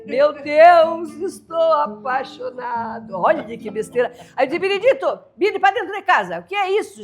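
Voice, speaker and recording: Older caiçara woman telling lively tales. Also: high voice, vocal fry. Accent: Brazilian Portuguese, São Paulo coast (caiçara). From São Paulo coast, Brazil. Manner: lively, laughing, voices family members in dialogue. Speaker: storyteller guest. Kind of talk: animated storytelling of folk tales.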